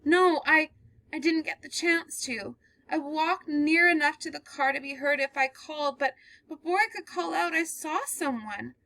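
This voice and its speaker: A woman's voice: high-pitched, moderate pace, sharp tone, no echo, pitched above the performer's own voice, rough recording. A woman voicing a terrified, sobbing young woman. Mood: frightened. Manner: breathless, pleading, sobbing.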